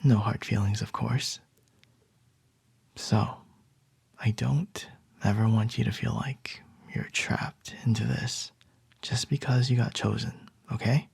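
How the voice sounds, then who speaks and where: A man playing a calm, charming male CEO boss in an ASMR roleplay, soft and reassuring with a light teasing edge. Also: fairly low pitch, slow pace, vocal fry, pauses often, slightly rough, no echo, exaggerated voice. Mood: soothing.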